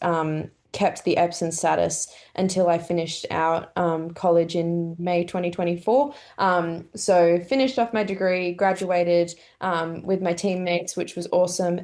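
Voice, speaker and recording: A woman's voice: lowish voice, a little mellow. Australian woman chatting in an easy way. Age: 20s. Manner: chatty, many "um" fillers, laughs often. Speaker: pro golfer guest. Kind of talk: casual interview answers.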